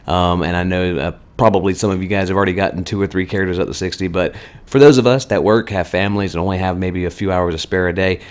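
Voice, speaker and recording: A man hosting a weekly game news update in a chatty, conversational way, his voice slightly hoarse. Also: low-pitched, fairly even intonation, quick pace. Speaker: gaming channel host.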